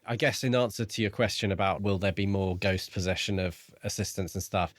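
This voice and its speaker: Lowish-voiced man on a dry podcast recording. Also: brisk, no room sound. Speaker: book podcast co-host. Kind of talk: casual book discussion.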